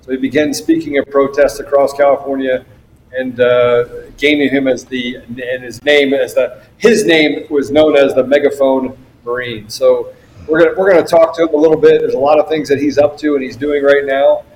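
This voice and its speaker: An American man hosts a talk show in a conversational style. His voice sits at a medium pitch, with a faint noise in the recording. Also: steady tone, moderate pace, darkish tone, rough recording. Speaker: show host. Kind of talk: conversational guest introduction.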